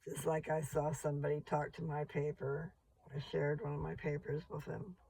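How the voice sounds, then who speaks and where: An older woman with a rough, gravelly voice, rambling slowly and chattily as she vlogs from her parked car. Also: low voice, little pitch movement, warm tone, slight background noise, rough recording. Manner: meandering, slow, chatty. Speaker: vlogger. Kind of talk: rambling casual vlog.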